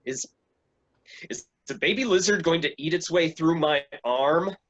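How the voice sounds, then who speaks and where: A man's voice: on the high side, a little slow, a bit bright. A man playing a grumpy, whiny, bumbling police chief in a staged reading of a comedy. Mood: whiny, anxious. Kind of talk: staged reading of a comic play. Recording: slightly dull, lo-fi sound.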